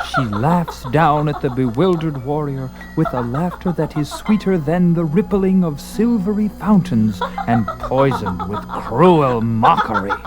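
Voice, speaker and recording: A man with a fairly high voice tells a dramatic fantasy story as narrator. His delivery is slow, theatrical and intense, with music softly under it. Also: lively intonation. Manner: theatrical, intense, deliberate phrasing.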